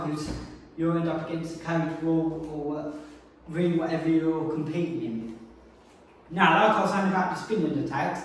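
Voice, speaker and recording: A man with a fairly high voice gives a casual fighting lesson and talks through moves as he demonstrates them. He is recorded from a distance in a strongly echoing indoor training space, with faint noise behind him. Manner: explains while demonstrating moves. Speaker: martial arts instructor. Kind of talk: casual spoken technique lesson. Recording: very echoey room, mic far off.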